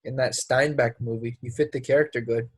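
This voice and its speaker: A man's voice: mid-range voice, steady tone, a little fast, breathy edge, dry recording, lightly acted. A man playing a brash, resentful young actor in a play reading, speaking in a blunt, casual, clipped way. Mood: blunt, sly. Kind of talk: play readthrough acting.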